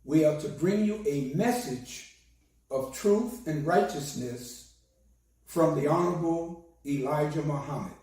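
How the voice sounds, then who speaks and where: A hoarse man, a minister, giving a slow and solemn sermon with a recited prayer. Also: on the high side, mellow. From United States. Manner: slow, measured, solemn delivery. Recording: very echoey, distant from the mic.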